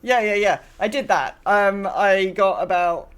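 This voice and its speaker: A woman's voice: medium pitch, unhurried, clean tone. A woman co-hosting a podcast in casual chat, rambling and often trailing off mid-thought. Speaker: podcast co-host.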